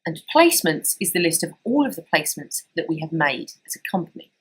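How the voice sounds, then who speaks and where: Husky, lively woman giving software tutorial. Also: low-pitched, fast, low-quality recording. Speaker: software trainer. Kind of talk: scripted tutorial narration.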